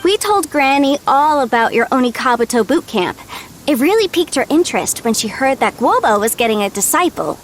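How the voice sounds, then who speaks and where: A woman's voice: high voice, sing-song, a little fast, a little hiss or hum. A woman playing a friendly, upbeat young adventurer in a video game, chatty and explanatory. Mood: friendly explaining.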